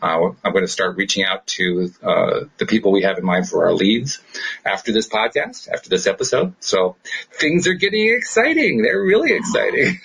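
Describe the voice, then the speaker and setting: Very husky, enthusiastic man podcasting. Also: fairly low pitch, normal speed, low-quality recording. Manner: enthusiastic, excitable, speeds up when excited. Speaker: podcast host. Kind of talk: casual unscripted podcast chat.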